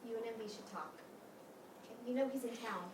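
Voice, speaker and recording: Actress doing an earnest peacemaker old friend, high female voice, stage reading, distant mic. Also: expressive, fairly quick, close to an everyday voice. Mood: insistent. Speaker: stage actor. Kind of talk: staged reading of a comedy-drama play. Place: meeting room used for a staged reading. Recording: roomy, distant mic, faint noise.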